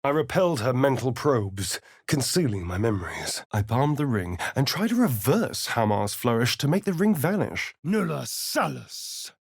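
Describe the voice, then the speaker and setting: A British actor playing a sly, vain vampire spawn rogue in a video game, with an arch, theatrical, drawling delivery. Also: male voice, mid-range voice, big pitch swings, normal speed, gravelly, hardly any pauses, breathy edge, dry recording, clean studio sound, full character voice. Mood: intense incanting. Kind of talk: dramatic video game voice acting.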